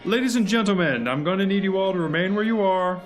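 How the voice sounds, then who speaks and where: A man's voice: sits high, fast, soft background music, close to an everyday voice. A man playing a stern, by-the-book agent in an audio drama, curt, dry and commanding.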